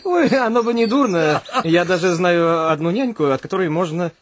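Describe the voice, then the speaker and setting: An actor playing a lazy, sly suitor in a theatrical comic radio play. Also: male voice, sits high, moderate pace, slight background noise, close to an everyday voice. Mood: furtive, grateful.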